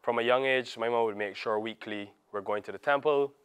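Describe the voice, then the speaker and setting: A man's voice: medium pitch, brisk, a bit bright, clear, resonant tone. A man from Barbados with a Barbadian accent, speaking reflectively as an interviewee in a documentary.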